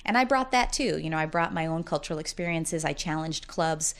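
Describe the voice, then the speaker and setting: A woman's voice: low voice, expressive, a little fast, fairly bright tone. A woman in her forties, a college dean, chatting in an upbeat, talkative way during an interview. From United States, Massachusetts. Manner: talkative, upbeat storyteller who often laughs while talking. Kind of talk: casual interview conversation.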